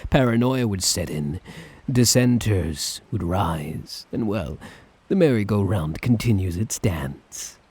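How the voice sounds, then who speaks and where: A man playing a smug, obsessive dictator in an ASMR roleplay, theatrical and drawling, with condescending, menacing affection and a husky voice. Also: fairly low pitch, animated, takes it slowly, a little mellow, full character voice. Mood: cynical, lecturing. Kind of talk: ASMR roleplay, dramatic monologue to listener.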